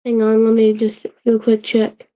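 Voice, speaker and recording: Woman on a casual livestream, kinda high voice, a bit off from a healing sore throat, stops and restarts a lot. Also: completely flat delivery, dark tone, highs cut off. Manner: halting, restarts often, checks in with chat. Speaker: channel host.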